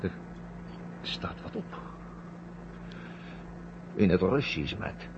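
An actor playing an excitable, anxious astronaut crewmate in a 1970 science-fiction radio drama, urgent and questioning. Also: male voice, sits low, drawn-out words, heavily acted. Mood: uneasy. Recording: muffled, noisy old radio drama recording.

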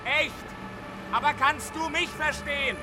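An actor playing an anxious, placating crisis psychologist, pleading hesitantly in a radio drama. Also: male voice, very high-pitched, measured pace, noisy background.

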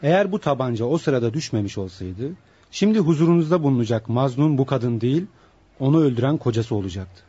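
An actor playing an impassioned defense lawyer, pleading formally in a radio courtroom drama. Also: male voice, mid-range voice, expressive, fast, mellow, slightly rough. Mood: earnest pleading. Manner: formal, oratorical. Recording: dull lo-fi radio drama recording.

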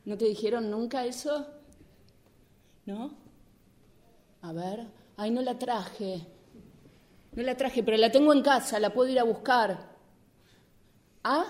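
Woman doing a fed up defiant lady driver, rioplatense accent, kinda high voice, radio play improv. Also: slow pace, long pauses, darkish tone. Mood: calm, distracted. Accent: Rioplatense Spanish. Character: defiant, exasperated woman driver arguing with police. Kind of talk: improvised radio drama exercise.

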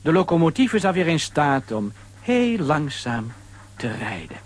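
A man's voice: a little high, sing-song, a little slow. A man narrating a children's radio play as a scripted storyteller.

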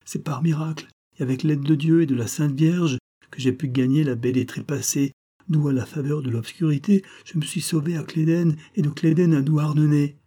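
A man's voice: on the high side, steady tone, moderate pace, warm tone, voice pushed up, lightly acted. A man voicing a shipwrecked young sailor in a read-aloud story, telling of the wreck in an urgent, pleading voice. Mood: desperate.

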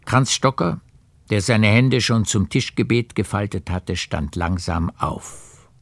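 A man narrating a literary radio play in a measured storytelling manner. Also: on the low side, gravelly, fairly bright tone, no echo. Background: music.

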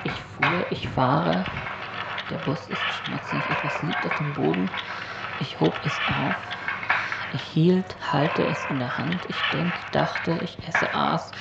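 A man playing a haunted, disoriented narrator of a surreal radio drama, gravelly and speaking in deliberate, broken phrases. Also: a little high, steady tone, full character voice. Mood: disoriented, uneasy. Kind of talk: surreal first-person radio drama monologue. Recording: dull lo-fi recording, loud background noise.

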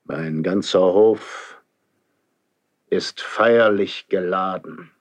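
A man's voice: deep voice, drawn-out words. A man playing a stern, lonely ageing king in classical verse radio drama, speaking in a solemn, declamatory way. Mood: cold, commanding.

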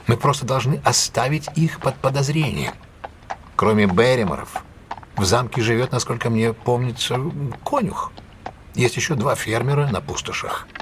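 An actor playing a shrewd, authoritative detective in an audio drama, speaking precisely and in a measured way as he instructs. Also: mid-range voice, expressive, slight background noise, natural voice. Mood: analytical, firm. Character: shrewd, commanding male detective giving careful instructions.

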